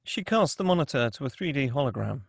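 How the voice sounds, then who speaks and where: A man's voice: mid-range voice, very animated, fast, gravelly, no room sound. A man reading screenplay action and scene directions as scripted narration at a table read.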